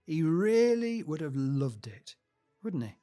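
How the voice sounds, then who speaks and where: A man's voice: fairly high pitch, big pitch swings, slow, dark tone, soft background music. An actor playing a cheerful, talkative middle-aged British husband and proud grandfather in a naturalistic audio drama, reflective and sentimental as he chats. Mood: warm, reflective. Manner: chatty, reflective speech-making.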